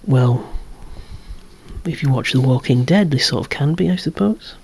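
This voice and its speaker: Hushed whispering ASMR presenter of unclear sex. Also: mid-range voice, animated, moderate pace, gravelly, a little hiss or hum. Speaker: presenter of a toy collection. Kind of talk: whispered ASMR show-and-tell of collectible toys.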